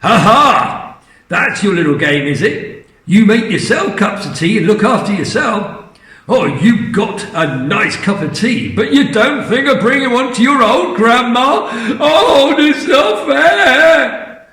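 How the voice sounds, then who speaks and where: A man doing a shrill, bossy old grandma. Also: sing-song, slow, reverberant, full character voice. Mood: demanding. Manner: shrill, shouting, whining complaints. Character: enormous grumpy old grandmother, bossy and demanding.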